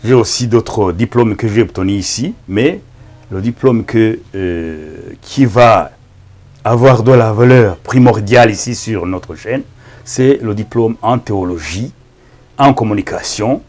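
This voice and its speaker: A man with a Rwandan accent, a native Kinyarwanda speaker, preaching as a pastor in a halting, emphatic manner with repeated words. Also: fairly low pitch, a little mellow. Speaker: pastor and evangelist. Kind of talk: preaching introduction to camera.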